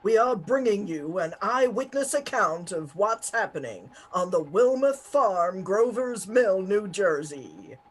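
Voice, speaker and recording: A woman playing a formal, deliberate studio announcer relaying a news bulletin in a 1930s radio drama. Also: mid-range voice, very animated. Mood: formal, serious. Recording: clearly noisy recording with ambience.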